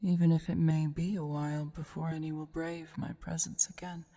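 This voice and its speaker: Actress as haughty female dragon, ASMR roleplay. Breathy, lowish, imperious mutter over her hoard. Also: level tone, moderate pace, warm tone. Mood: disdainful, brooding. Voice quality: breathy, half-whispered, creaky.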